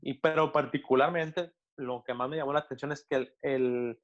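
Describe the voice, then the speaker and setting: A middle-aged Mexican pastor with a Mexican Spanish accent answers questions over a video call. He speaks reflectively, pausing and restarting often as he works through his thoughts. His voice sits at an ordinary pitch and comes through a slightly dull, lo-fi connection. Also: steady tone. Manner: thoughtful, with many hesitations and restarts. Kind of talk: interview answers.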